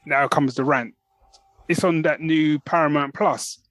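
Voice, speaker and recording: A man hosting a podcast, chatting and ranting casually in an animated, storytelling way. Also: a little high, unhurried, dry recording. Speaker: podcast host.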